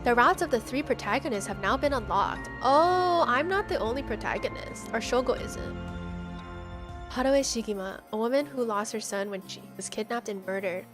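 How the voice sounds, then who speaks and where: Casting: female game streamer, on the high side, excitable and slangy, reads on-screen text and chats, clear background music. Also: moderate pace. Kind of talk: casual game-stream chat mixed with reading game text aloud.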